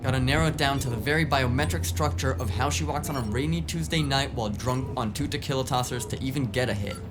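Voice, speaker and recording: A man's voice: medium pitch, fast, music in the background, lightly acted. A man playing a grumbling, sarcastic tech whiz in a cyberpunk audio drama, talking in a casual, rambling way. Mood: wry. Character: grumbling, foul-mouthed, sarcastic male tech whiz driver.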